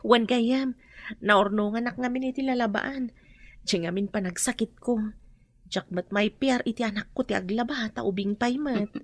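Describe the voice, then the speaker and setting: A woman's voice: on the high side, normal speed, dry recording, natural voice. A woman playing a poor, weary and loving laundrywoman mother in an Ilocano radio drama, with an Ilocano accent. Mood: weary, fond.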